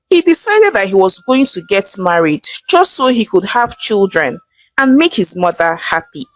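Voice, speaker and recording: Female storyteller, Nigerian accent, animated scripted folktale read, higher register, dry sound with music underneath. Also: no room sound, rough recording.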